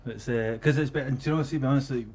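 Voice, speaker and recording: A man's voice: mid-range voice, very fast, a little mellow. A Scottish man co-hosting a podcast in casual, teasing, sweary banter. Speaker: podcast co-host. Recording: clear background noise, clicks.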